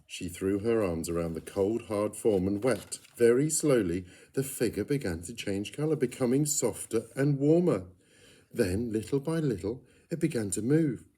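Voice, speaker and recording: A man reading a picture book aloud in an expressive storytelling style. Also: fairly low pitch, normal speed, breathy edge. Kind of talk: expressive children's story narration.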